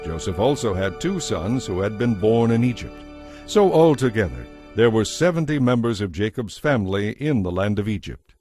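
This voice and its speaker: A man narrating scripture from a script in a measured, solemn manner. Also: fairly low pitch, expressive, darkish tone. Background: music.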